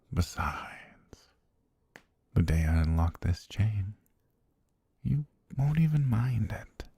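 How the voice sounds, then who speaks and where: A man playing a possessive, lovestruck butler in an intimate ASMR roleplay, patient and menacing, with courtly, softly coaxing, half-whispered speech and a gravelly, husky low voice. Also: no echo, exaggerated voice. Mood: calm menace.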